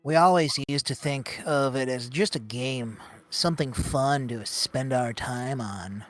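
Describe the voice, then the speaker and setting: A bookish teenage boy from a video game, put on by a man, with a slightly nasal, middle-pitched voice. He is fussy, cautious and quick to get exasperated, with faint music underneath. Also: dry recording, natural voice. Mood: reflective. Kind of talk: live fan dub of a video game.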